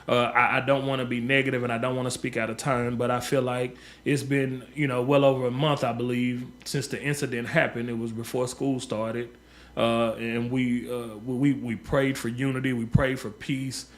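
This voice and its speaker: A man's voice: medium pitch, little pitch movement. A man, a local community organizer making an earnest radio appeal, often restarting and repeating words. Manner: halting, many repeated words and fillers. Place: radio studio.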